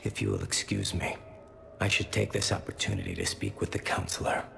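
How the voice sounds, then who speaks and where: An actor playing a grieving young alien son in a video game, solemn and courteous, with a gravelly voice and formal, halting phrasing. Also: male voice, huge pitch swings, fairly bright tone, slight background noise, full character voice. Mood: courteous, subdued. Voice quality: gravelly, very low voice. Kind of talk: video game cutscene acting.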